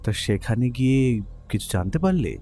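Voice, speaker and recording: An actor playing a detective's curious, slightly anxious friend, telling the story expressively. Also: male voice, mid-range voice, very animated, moderate pace, dark tone. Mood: anxious, questioning. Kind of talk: dramatised first-person story narration. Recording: background music under the voice.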